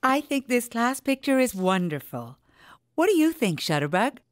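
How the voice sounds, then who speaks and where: A woman voicing a kindly, encouraging kindergarten teacher in a children's cartoon, with a gentle teacherly manner. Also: fairly high pitch, big pitch swings, moderate pace. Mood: gentle, reassuring. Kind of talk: children's animated cartoon acting.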